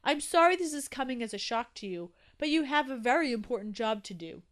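A woman's voice: fairly high pitch, expressive, a bit bright. A woman voicing a male grown-up tooth in a children's story, upbeat, matter-of-fact and reassuring, talking down kindly to a little one. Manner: upbeat, brisk, patronizing toward the little one. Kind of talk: acted children's story dialogue.

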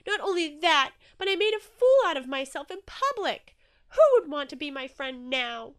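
A woman's voice: high-pitched, huge pitch swings, extremely slow, voice pushed up, exaggerated voice. A woman voicing an anxious, sensitive young male octopus in a children's story, speaking nervously and apprehensively. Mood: distraught.

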